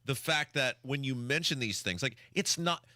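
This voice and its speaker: Bright-voiced male talk radio host, emphatic. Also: mid-range voice, expressive, normal speed, no echo, studio quality. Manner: exasperated, emphatic, rhetorical questions. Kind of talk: opinionated talk radio commentary.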